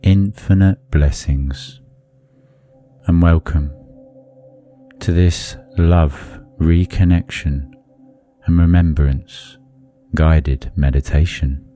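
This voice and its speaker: A man leading a guided meditation in a soothing, deliberate manner, leaving long pauses between phrases. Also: very deep voice, fairly even intonation, mellow, faint music behind.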